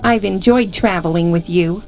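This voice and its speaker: An actress playing a kindly, gentle older woman in an adventure game, with music behind her. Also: lowish voice, normal speed, close to an everyday voice. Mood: grateful. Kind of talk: scripted adventure game acting. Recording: music under the voice.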